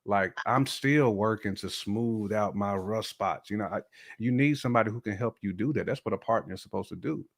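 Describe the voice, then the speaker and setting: This is an American man with a fairly deep voice. He talks in a colloquial, conversational podcast style. His delivery is emphatic, with regular check-ins to make sure he is followed. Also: brisk. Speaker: podcast guest or co-host discussing relationships. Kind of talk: casual conversational podcast talk.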